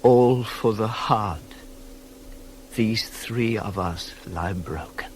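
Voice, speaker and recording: An actor playing a proud, bitter young prince dying in agony in a classical radio tragedy, declaiming between hushed gasps and cries. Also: male voice, lowish voice, slow, low-quality recording. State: weak and in agony. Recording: hissy 1980s cassette of radio play.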